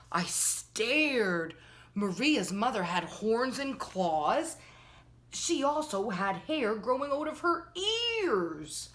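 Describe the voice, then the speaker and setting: Slow-paced woman reading a children's storybook. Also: fairly high pitch. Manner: slow, addresses children directly, storyteller phrasing. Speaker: children's storytime host and reader. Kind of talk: expressive picture-book read-aloud to children.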